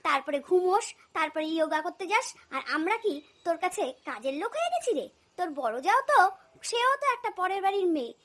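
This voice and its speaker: Woman putting on a high-voiced, scolding older mother-in-law. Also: a bit bright, full character voice. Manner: blunt, sarcastic, nagging. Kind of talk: cartoon family comedy dialogue.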